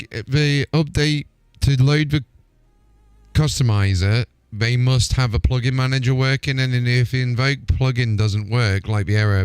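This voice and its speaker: A man's voice: medium pitch, clean tone, dry recording. A man hosting a live gaming stream, talking casually as he plays and troubleshoots.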